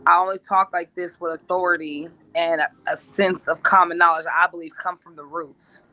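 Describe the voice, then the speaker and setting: A woman's voice: on the low side, steady tone, a little fast, bright, forceful tone. A woman talking at length in an interview clip, insistent and assertive, repeating her points. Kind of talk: rambling monologue. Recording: dull lo-fi clip.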